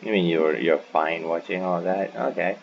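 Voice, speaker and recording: Dull-sounding male gamer, halting commentary. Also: sits low, creaky, slight background noise. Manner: halting, repeats phrases for emphasis. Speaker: gaming video host. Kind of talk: casual playthrough commentary.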